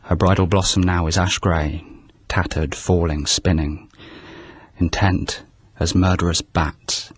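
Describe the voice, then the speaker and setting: An actor playing an erratic, hard-drinking court poet with a mocking, sharp tongue. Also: male voice, deep voice, steady tone, unhurried, breathy edge, no echo, studio quality. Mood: reciting. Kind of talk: radio drama acting.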